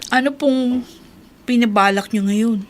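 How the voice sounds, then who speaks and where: A woman plays a courteous office worker in a radio serial. Her voice is high, with a respectful, questioning delivery. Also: unhurried, lightly acted. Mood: deferential. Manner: respectful, inquiring. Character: polite, deferential female office employee. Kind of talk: Filipino radio drama acting.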